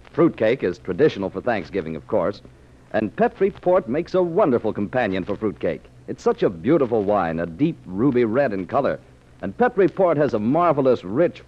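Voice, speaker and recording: Lively 1940s radio announcer man. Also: mid-range voice. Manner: enthusiastic salesmanship. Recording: muffled 1940s radio recording.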